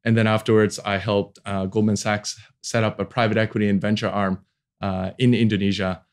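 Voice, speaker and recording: A relaxed man in his forties, from Hong Kong, speaking in a fairly low voice. He talks about his career in a loose, conversational way, full of ums and restarts, and laughs easily. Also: brisk. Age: 40s. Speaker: venture capital founder, guest. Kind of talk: casual interview talk.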